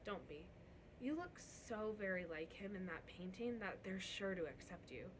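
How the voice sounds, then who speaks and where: A woman voicing a young servant girl in a storybook read-aloud, soft, hesitant, earnest and worried. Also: mid-range voice, big pitch swings, moderate pace, noisy background, lightly acted. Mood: gentle, hesitant.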